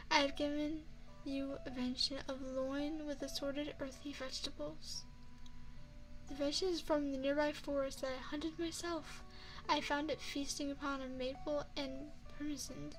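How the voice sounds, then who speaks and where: A woman playing an elegant, flirtatious vampire chef in an ASMR roleplay, speaking formally and courteously with deliberate, teasing phrasing into a close microphone. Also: sits high, poor audio. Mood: attentive, teasing. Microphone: close binaural microphone.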